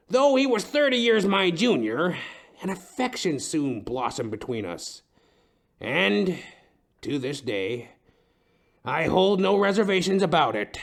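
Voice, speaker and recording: An actor playing a melodramatic, lovesick older timber tycoon with a pompous, theatrical way of talking in a comedic detective audio play. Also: male voice, mid-range voice, exaggerated voice. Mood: wistful, smitten. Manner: florid, theatrical, pompous storytelling.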